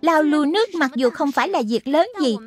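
High-pitched earnest little spirit mentor. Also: female voice, animated, fairly quick. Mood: patient teaching. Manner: didactic, explanatory. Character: small lion-spirit mentor, earnest, childlike, patient teacher. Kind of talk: children's cartoon dub.

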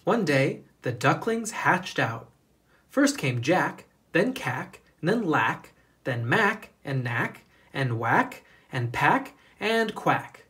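Animated children's storybook narrator. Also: medium pitch, takes it slowly. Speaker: storybook reader, educator. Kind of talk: expressive children's picture book narration.

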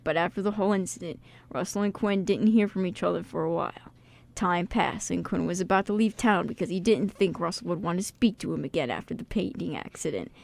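A woman's voice: lowish voice, fairly quick, vocal fry, darkish tone. A woman hosting a radio show, talking in a casual, jokey, informal way. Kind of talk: casual radio chat and reading a synopsis aloud.

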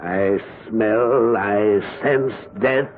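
An older man playing an elderly, cold and boastful Nazi war criminal in hiding, in 1970s radio drama style. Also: on the low side. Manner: halting and courteous, turning menacing and grandiose. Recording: muffled vintage radio broadcast.

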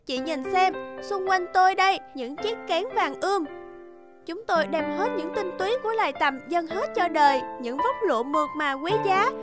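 A woman's voice: very high-pitched, lively intonation, normal speed, over loud music. A woman voicing a proud, selfless female silkworm in a cartoon, speaking earnestly and with reproach. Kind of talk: cartoon voice acting.

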